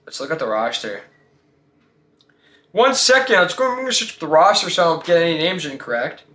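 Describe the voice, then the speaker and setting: A man's voice: a little high, big pitch swings, a little fast, bright, forceful tone, echoey room. A man hosting a casual sports talk show, giving blunt, slangy opinions and firing off rhetorical questions.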